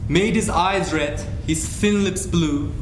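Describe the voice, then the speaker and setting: A man narrating a dramatized literary reading in a theatrical, deliberate, declamatory way. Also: high-pitched. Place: hall, live stage reading. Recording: echoey hall with clear noise.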